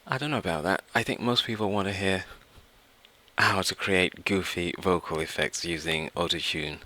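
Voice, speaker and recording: Male tutorial presenter, low pitch with a crisp bright edge, informal and explanatory. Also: moderate pace. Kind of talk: informal software tutorial narration.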